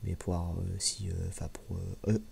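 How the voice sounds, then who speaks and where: A man speaking softly in a hushed, casual ASMR style, hesitant and halting, repeating himself, on a slightly muffled recording. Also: deep voice, flat delivery, creaky. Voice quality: soft, hushed voice, sometimes near whisper. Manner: hesitant, halting, frequent repeats and filler pauses. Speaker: ASMR video creator and host. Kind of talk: soft-spoken ASMR, casual unscripted review. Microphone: microphone held a little away from mouth. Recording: slightly muffled mic with background noise.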